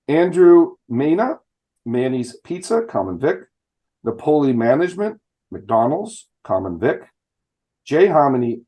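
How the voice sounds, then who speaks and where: Stuffy new guy reading names off a list at a town board meeting, flat and plodding, trips over words now and then. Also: medium pitch. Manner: reads names in a flat list with fumbles and restarts. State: stuffed up from illness. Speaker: select board member.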